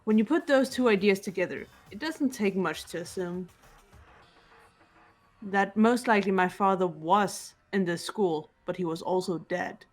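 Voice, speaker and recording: A woman voicing a composed, guarded teenage girl detective in an amateur game dub, measured and restrained. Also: medium pitch, faint music behind, lightly acted. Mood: calm, analytical. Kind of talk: amateur voice acting in a visual novel playthrough.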